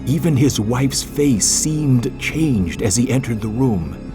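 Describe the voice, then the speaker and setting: A man's voice: mid-range voice, expressive, slow. A man narrating a classic horror story in a dramatic, suspenseful, theatrical way. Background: music.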